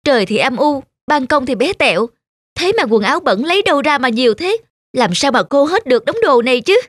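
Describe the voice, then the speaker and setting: High-voiced weary young mother, music behind. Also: animated, a little fast, dry recording, clean studio sound. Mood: weary, grumbling. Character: weary, put-upon young mother and housewife. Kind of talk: Vietnamese cartoon voice acting. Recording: music under the voice.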